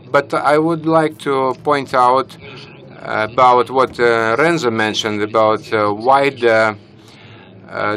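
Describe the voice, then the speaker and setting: A young man speaking on a conference panel into a handheld mic, halting with many fillers and restarts. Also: mid-range voice, fairly bright tone. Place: conference stage, large hall. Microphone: handheld microphone.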